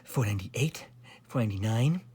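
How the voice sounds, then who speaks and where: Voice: medium pitch, animated, measured pace, a bit bright, voice pushed up. A storybook narrator voicing a fussy, weary royal counter who tallies and is easily flustered. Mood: tired. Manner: mumbling, tallying, easily flustered.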